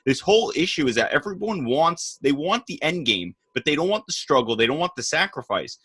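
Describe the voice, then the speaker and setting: A man's voice: on the high side, big pitch swings, brisk, fairly bright tone, husky. A man co-hosting a political podcast, talking bluntly and sarcastically in a lecturing way. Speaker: podcast co-host. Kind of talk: casual political chat.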